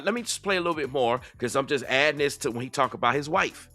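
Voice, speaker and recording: Heated man with a bright, high voice. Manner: heated, rhetorical, dialect-heavy. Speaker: reaction commentator. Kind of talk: reaction video commentary.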